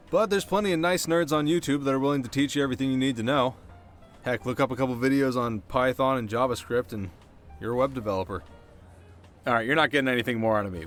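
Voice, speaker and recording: A man's voice: mid-range voice, a bit bright, lightly acted. A man playing a cocky, teasing delinquent teenage boy in an ASMR roleplay, talking casually and wryly straight to the listener. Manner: casual, wry, sarcastic, sometimes flustered. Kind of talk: ASMR audio roleplay, one-sided dialogue to listener. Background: music.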